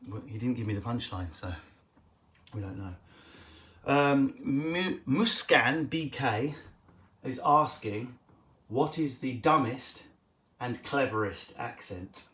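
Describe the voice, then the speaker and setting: Cheeky fast-talking Essex man. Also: mid-range voice, animated. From England. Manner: quick, cheeky, jumps between loud bursts and muttered asides. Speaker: comedian hosting a live Q&A stream. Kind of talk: casual improvised stand-up chat to camera. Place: at home, indoors.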